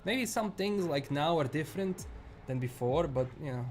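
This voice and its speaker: A man's voice: on the high side, animated, moderate pace, soft background music. A man with a Bosnian accent chatting casually on a live stream, rambling and restarting his sentences often. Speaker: livestream host.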